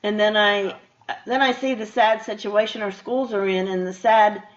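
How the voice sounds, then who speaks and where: A woman's voice: mid-range voice, fairly even intonation, moderate pace. A woman hosting a radio show, interviewing a guest in a casual, chatty and conversational way. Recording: dull, lo-fi radio interview audio.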